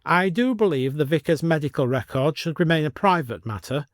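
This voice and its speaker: A man plays an imperious upper-class lady running a village committee in an audio story. She is prim and formal, pitched high, commanding the room with proper diction, in a close, dry recording. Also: lively intonation, fairly quick, dry recording, natural voice. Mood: prim, firm.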